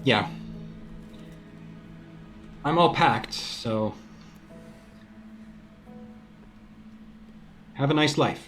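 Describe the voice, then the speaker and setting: A man acts out a self-important boyfriend in an improvised comedy scene. He is deadpan yet defensive and halting, in a medium-pitched voice. Music plays behind him. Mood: relieved, dismissive.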